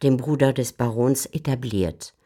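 A woman giving measured, composed scripted narration for a literary radio drama. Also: very deep voice, warm tone. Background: faint music.

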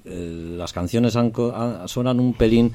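A man's voice: mid-range voice, unhurried, dark tone. A middle-aged man, a band's drummer, chatting and joking in a radio interview. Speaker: band drummer.

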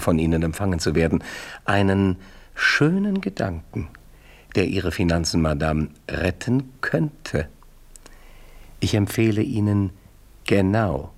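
Smug old-world marquis, low creaky voice, husky, mock polite, radio drama style. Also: male voice, big pitch swings, heavily acted. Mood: mocking triumph. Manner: mock-courteous, smug, measured, pointed pauses. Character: suave, mocking French marquis acting as financial adviser. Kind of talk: 1970s German radio play acting.

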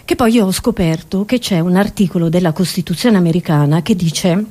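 A woman's voice: lowish voice, a little fast, dark tone. A woman performing a theatrical comic monologue to an audience, scatterbrained and exclamatory, often breaking off and repeating her words.

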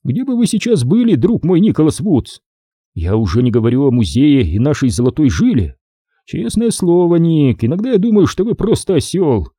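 A man's voice: a little high, sing-song, moderate pace, dark tone, slightly rough, dry recording, clean studio sound, pitched above the performer's own voice. A man voicing a depraved British major in a story, a sardonic villain who speaks with mannered, mocking politeness. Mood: scornful, condescending. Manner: mannered, mockingly courteous speech.

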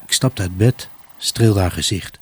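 A brooding, troubled husband in a radio drama. His voice is low and husky, with a rough grain. He recounts his unhappy marriage as an intimate dramatic monologue. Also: measured pace, exaggerated voice. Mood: reflective, weary. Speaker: actor narrating a radio drama. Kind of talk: dramatic first-person monologue in a Dutch radio play.

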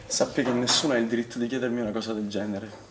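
A man's voice: medium pitch, fairly even intonation, fast talker, natural voice. An actor playing an agitated male swimming instructor in a naturalistic stage drama, defensive and indignant, often stammering and repeating himself. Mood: offended, guarded. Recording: distant, echoing stage pickup with noise.

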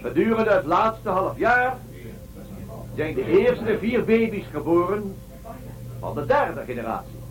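A man's voice: high voice, sing-song, unhurried, pauses often, slight background noise, poor audio. A man playing a solemn starship commander giving a formal, declamatory address in a science-fiction radio drama. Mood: solemn, resolute.

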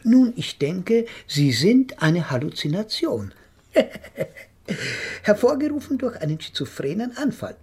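A psychotherapist in a radio drama, his voice thoroughly hoarse-edged with a creaky grain. He speaks in formal, analytical phrases with hesitations and nervous amusement. The intonation moves around freely as he humours his patient. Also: sits high, very animated, slow pace, a little mellow, exaggerated voice. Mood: amused, sceptical. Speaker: actor in a radio play. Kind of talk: German radio drama acting.

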